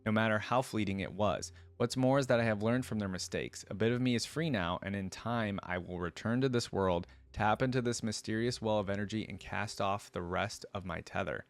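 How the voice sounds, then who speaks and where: A man's voice: on the low side, a little fast, hardly any pauses, dry recording, natural voice. A man voicing a proud, vengeful celestial dragon in grandiose, florid storybook narration. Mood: defiant. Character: proud, vengeful celestial star-forging dragon held captive.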